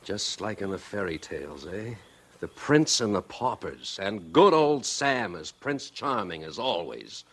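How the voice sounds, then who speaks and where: An actor playing a proud, touchy, plain-spoken young former football hero in a 1940s radio drama. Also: male voice, on the low side, very animated, measured pace, fairly bright tone, breathy edge, heavily acted. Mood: bitter. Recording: vintage 1940s radio recording.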